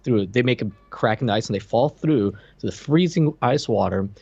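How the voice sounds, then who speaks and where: A man's voice: medium pitch, animated, normal speed, gravelly, darkish tone, breathy edge, no room sound, highs cut off, faint music behind. A man in his thirties co-hosting a casual review chat in a joking, irreverent way. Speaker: podcast co-host. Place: dim room at home, video call.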